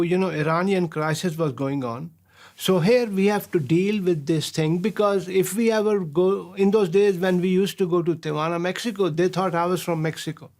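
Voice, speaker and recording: A man, a professor telling stories of his past in an interview, pausing often on fillers and chuckling as he goes. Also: high voice. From Pakistan. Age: 60s. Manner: chuckles often, halting with many filler sounds. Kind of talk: relaxed storytelling interview.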